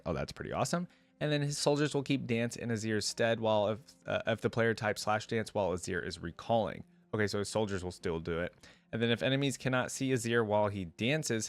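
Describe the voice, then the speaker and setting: A man giving informal, rambling and opinionated commentary in a casual reaction video. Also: mid-range voice. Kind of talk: casual reaction commentary, partly reading aloud.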